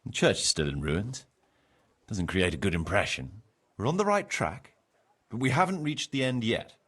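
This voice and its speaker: An actor playing a courteous, deliberate medieval village steward in a video game. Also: male voice, fairly low pitch, very animated, a bit bright, breathy edge, full character voice. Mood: appraising. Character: obliging, measured medieval village building steward. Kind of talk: video game cutscene acting.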